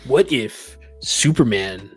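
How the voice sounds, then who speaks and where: A man's voice: mid-range voice, animated, slow, slightly rough, soft background music. A man co-hosting a casual podcast discussion with a wry, joking way of talking. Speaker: podcast co-host. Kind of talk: casual discussion of tabletop roleplaying.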